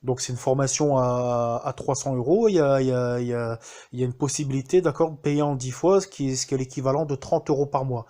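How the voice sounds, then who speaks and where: Un homme français qui témoigne de manière spontanée, en hésitant souvent et en reprenant ses phrases. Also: male voice, mid-range voice, steady tone, fast talker, dark tone. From France. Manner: hesitant, many fillers and restarts. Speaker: student giving a testimonial. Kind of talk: casual spoken testimonial.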